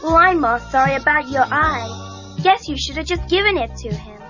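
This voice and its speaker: A sympathetic teenage girl in a children's story tape. The voice is very high, casual and friendly, heard on dull cassette with music underneath. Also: expressive, moderate pace. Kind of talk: children's audio drama dialogue. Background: prominent music.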